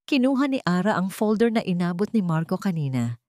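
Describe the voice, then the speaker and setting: A woman's voice: fairly low pitch, big pitch swings, brisk, darkish tone, no room sound, clean studio sound. A woman narrating a scripted audiobook drama with dramatic delivery.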